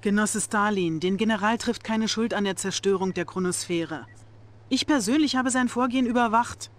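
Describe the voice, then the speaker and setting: An actress playing a young, cool and calculating Soviet intelligence officer in a video game cutscene, composed and assertive. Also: female voice, mid-range voice, normal speed, lightly acted. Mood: firm, accusing. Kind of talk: video game cutscene acting, German dub.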